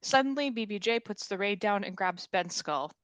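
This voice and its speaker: A woman's voice: medium pitch, animated, normal speed. A woman reading scripted stage directions aloud at a table read.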